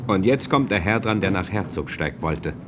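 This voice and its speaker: An actor as a wry storyteller, narrating a radio play vividly in the present tense. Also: male voice, deep voice, expressive, moderate pace, noisy background. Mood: vivid, amused.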